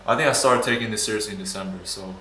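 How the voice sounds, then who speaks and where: A man's voice: on the low side, rapid-fire, a crowd in the background, rough recording. A twenty-year-old man talking casually to camera in a vlog, laid-back, swearing freely and calling people bro. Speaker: vlogger and business owner.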